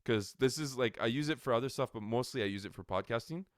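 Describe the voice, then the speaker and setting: A man from Canada co-hosting a podcast on a good studio microphone. He talks loudly and animatedly, full of filler likes. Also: medium pitch, quick pace, no room sound. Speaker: podcast co-host. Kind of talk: casual chat. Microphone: quality podcast microphone.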